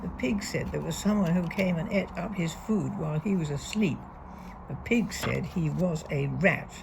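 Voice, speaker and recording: A woman reading a picture book aloud to young children, deliberately and expressively, leaning on key words. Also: low-pitched, gravelly, background noise. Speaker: storybook reader.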